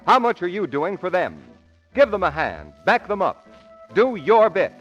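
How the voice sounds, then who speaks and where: A muffled old broadcast with faint music carries a male announcer. His fairly high voice rises and falls emphatically in formal 1940s radio fashion. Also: sing-song, moderate pace, a bit bright. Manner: emphatic, formal sales delivery.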